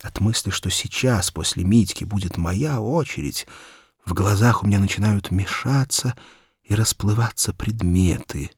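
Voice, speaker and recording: A man narrating a literary children's story in the first person, with an expressive, measured, acted delivery and a husky voice. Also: lowish voice, dry recording, studio quality. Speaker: audiobook narrator.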